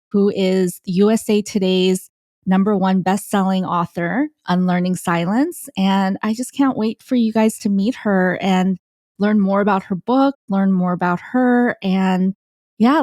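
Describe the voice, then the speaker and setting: A woman's voice: mid-range voice, unhurried, darkish tone, no echo, clean studio sound. A woman hosting a podcast interview, enthusiastic and affirming. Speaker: podcast host.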